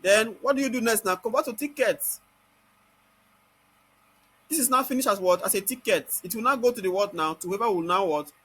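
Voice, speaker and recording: A Nigerian-accented man giving an informal step-by-step software tutorial, constantly asking rhetorical questions and answering them himself. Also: sits high, fairly quick, long pauses, breathy edge.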